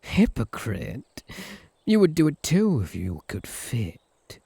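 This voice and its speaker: Smug incubus suitor, acted by a British man, breathy-rough edge. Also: medium pitch, huge pitch swings, slow pace, warm tone, no room sound, heavily acted. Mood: dry, mocking.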